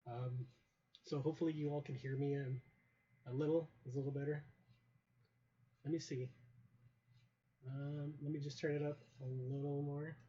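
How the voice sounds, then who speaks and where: A young man with a hoarse voice chatting casually on a livestream, rambling with many ums while telling a wrestling story over a headset mic. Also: on the high side, little pitch movement, normal speed, pauses often, mellow. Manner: rambling, many ums and uhs, greets chat viewers. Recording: dull lo-fi stream audio with faint hiss.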